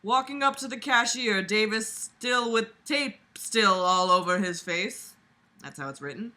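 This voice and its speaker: A woman's voice: medium pitch, expressive, unhurried, sharp tone. A young woman reading aloud and commenting casually in a sarcastic, exasperated, blunt way, recorded in a room at home. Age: young adult. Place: small room at home, lying on a bed.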